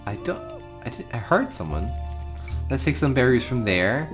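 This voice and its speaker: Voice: medium pitch, big pitch swings, normal speed. Irish gamer narrating a story as they play, really swoopy mid voice, sweet with the creatures, faint music. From Ireland. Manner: talks affectionately to game creatures. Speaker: gaming video host. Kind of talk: improvised storytelling game commentary.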